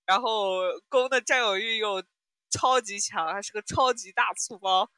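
A woman's voice: mid-range voice, moderate pace, pushed and bright, no echo. A woman co-hosting a podcast, chatting casually about audio dramas in a playful, teasing way. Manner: playful, giggly, teasing storytelling. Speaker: podcast co-host.

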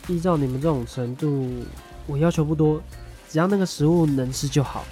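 A man's voice: a little high, a little fast, warm tone, lightly acted. Male actor as young dorm mate, Taiwanese Mandarin, earnest, sheepish, audio drama, prominent background music. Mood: mildly exasperated.

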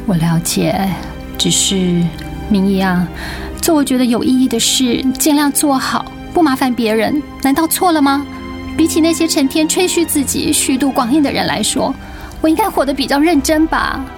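Casting: weary, stubbornly independent young mother, Taiwanese Mandarin, radio play, clearly audible music underneath. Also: sits high, lively intonation, moderate pace. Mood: anguished, self-doubting. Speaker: voice actor in a radio drama. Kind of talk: dramatic radio play acting.

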